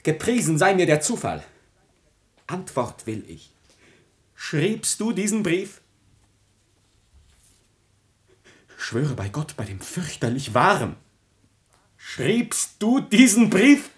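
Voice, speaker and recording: A man's voice: fairly high pitch, big pitch swings, slow pace, pauses often. An actor playing an anguished, jealous young nobleman in a declamatory classical tragedy for radio, moving between whispers and outcries. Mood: agitated, demanding.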